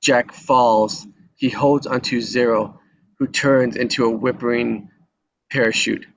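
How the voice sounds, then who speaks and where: A man's voice: mid-range voice, steady tone, unhurried. A man narrates screenplay action in a mid-range voice. He reads scripted descriptions for a table read. The audio is slightly dull with faint noise.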